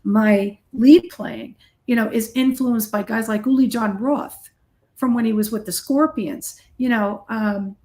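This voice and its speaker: A woman in middle age talks casually as a musician being interviewed. Her voice sits a little high. She is blunt and self-deprecating, telling anecdotes in a conversational way. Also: measured pace, a little mellow. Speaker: heavy metal guitarist, singer and songwriter being interviewed.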